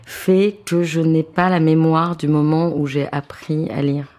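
A woman in her fifties, a translator and author, talking in a relaxed, thoughtful way with many small restarts, seated at home. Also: low voice, measured pace. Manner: hesitant, thoughtful, repeats words when starting. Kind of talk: relaxed conversational interview. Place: apartment living room, seated on a sofa.

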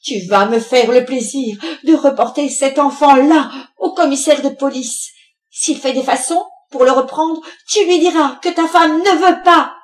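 A woman voicing a bargeman's angry, sharp-tongued wife in a storybook reading, scolding and commanding with indignation. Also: sits high, a little slow, voice pushed up. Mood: threatening.

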